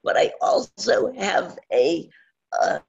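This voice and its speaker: Woman presenter, hoarse, raspy, gravelly, halting, dull lo-fi audio. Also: medium pitch, huge pitch swings, darkish tone. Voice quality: strained raspy voice. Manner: halting, with frequent fillers. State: hoarse from a cold. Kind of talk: webinar talk.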